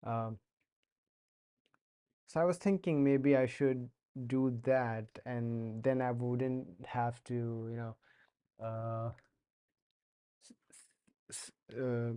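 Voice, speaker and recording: A man's voice: medium pitch, steady tone, slow pace, pauses often, warm tone, clear, resonant tone. A young man with an Indian accent chatting casually while coding on a live stream, hesitant with many fillers and restarts. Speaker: streamer learning to code.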